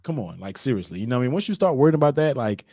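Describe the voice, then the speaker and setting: Fast-talking excitable man, dry sound. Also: mid-range voice, no echo. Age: 30s. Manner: excitable, keeps asking "you know what I mean", laughs often. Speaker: guest. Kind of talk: casual chat. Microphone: video call.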